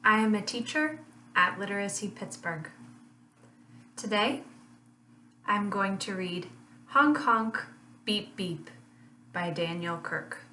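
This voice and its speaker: A woman teacher reading a rhyming children's picture book aloud in a slow, sing-song way, with lively honks. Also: mid-range voice, a little hiss or hum. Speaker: family literacy teacher. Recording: echoey room, voice a bit far from mic.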